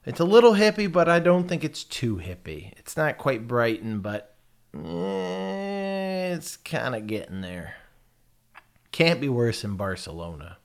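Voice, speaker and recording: A man's voice: medium pitch, sing-song, unhurried. An American man with a noticeable lisp, talking casually to his viewers in a rambling, profane, self-mocking way. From United States. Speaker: vlogger. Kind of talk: casual chat.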